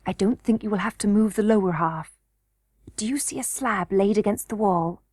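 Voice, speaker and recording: A woman voicing a brave, practical young boy miner, hushed, cautious and matter-of-fact, in a children's storybook reading. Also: mid-range voice, normal speed, dry recording, studio quality, lightly acted.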